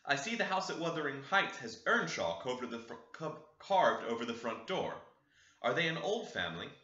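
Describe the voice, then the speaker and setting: A courteous, curious gentleman from a classic novel played by a male reader. His voice is very bright and varied in pitch, with a questioning manner and a roomy echo. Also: big pitch swings, normal speed. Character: inquisitive, polite gentleman tenant. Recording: roomy, echoey, slightly dull sound.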